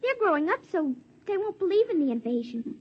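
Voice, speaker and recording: An actress playing an earnest, excitable little girl in 1950s radio drama, insistent and tripping over her words. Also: very high-pitched, brisk. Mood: earnest, boastful. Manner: breathless, insistent, childlike stumbling over words. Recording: dull vintage 1950s radio recording.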